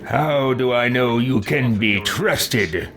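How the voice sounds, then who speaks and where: A man's voice: mid-range voice, slow pace, close to an everyday voice. A man voicing a pompous, domineering warrior while roleplaying through a video game. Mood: scornful. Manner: pompous, imperious, theatrical. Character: haughty, domineering male warrior who scorns weaklings. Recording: clear game noise behind voice. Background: game ambience.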